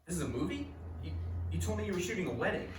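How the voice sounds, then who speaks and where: A man performs an earnest screenwriter betrayed by his friend, in a live comedy reading. The voice is fairly high and expressive, recorded from afar with echo. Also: very fast. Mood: puzzled. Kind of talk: comedy stage table read. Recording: echoey, noisy room, distant mic.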